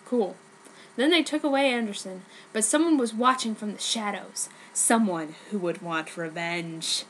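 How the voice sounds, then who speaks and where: A woman's voice: medium pitch, normal speed, fairly bright tone, low-quality recording. A woman giving a mock-serious, exaggerated dramatic reading of comic fan fiction. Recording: noticeable background noise, amateur recording.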